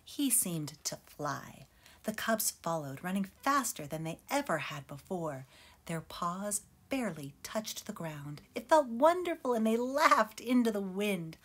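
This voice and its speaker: A woman reading a children's picture book aloud in a gentle, expressive way, as a teacher for her students. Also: mid-range voice, measured pace, slight background noise. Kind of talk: storybook read-aloud.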